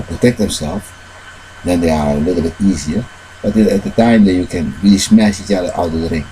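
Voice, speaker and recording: A man with a deep, dark voice tells a winding story at his own pace, halting often on fillers and loose phrasing. He keeps the tale going by slipping into the voices of the people in it. A faint hum sits behind him. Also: rough recording. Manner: retells events with shifts into quoted dialogue. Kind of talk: rambling personal storytelling.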